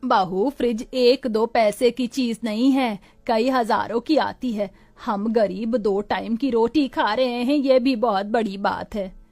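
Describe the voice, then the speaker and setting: Cartoon older mother-in-law, played by a woman: high voice, doting but worn down, drawn-out complaints. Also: darkish tone, no echo, faint music behind. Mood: worried. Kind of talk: cartoon moral story acting.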